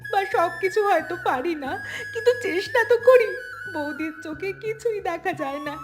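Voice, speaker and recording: A woman's voice: very high voice, animated, moderate pace, music in the background. A woman playing a young wife and mother in a cartoon melodrama, anxious, hurt and pleading. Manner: emotional, pleading.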